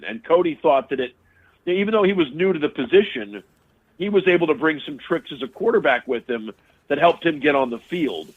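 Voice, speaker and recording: A man calls in as a sports radio guest, his voice squeezed through a thin, tinny phone line. He talks analytically at a middle pitch, often circling back and restarting phrases mid-thought. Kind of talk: casual sports interview.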